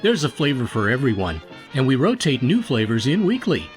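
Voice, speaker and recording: Animated male old-time radio announcer. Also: mid-range voice, brisk, soft background music. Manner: showy, enthusiastic.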